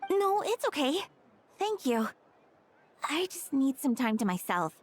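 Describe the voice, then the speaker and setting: A woman voicing a gentle, troubled young woman in a video game, withdrawn and hesitant, with a sighing delivery. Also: sits high, very animated, a little slow, a little hiss or hum. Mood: hesitant, sad. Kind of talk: video game character acting.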